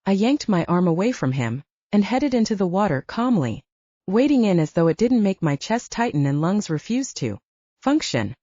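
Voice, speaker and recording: A woman's voice: mid-range voice, sing-song, moderate pace, mellow, no room sound, dull audio. A woman reading a scripted audiobook story as its narrator.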